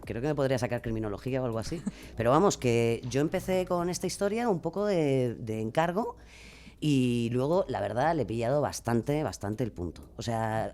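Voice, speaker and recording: A woman with a Castilian Spanish accent talks casually as an interview guest. She is expressive and rambles a little, with a self-deprecating, informal way of explaining herself. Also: a bass voice. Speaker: guest, true-crime podcaster. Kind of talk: casual radio interview chat.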